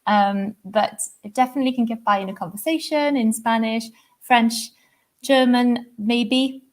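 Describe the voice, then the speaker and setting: A woman's voice: fairly high pitch, measured pace. A young adult Welsh woman with a Welsh accent, a singer chatting as a podcast guest, talkative and laughing often. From Wales. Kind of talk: casual interview chat.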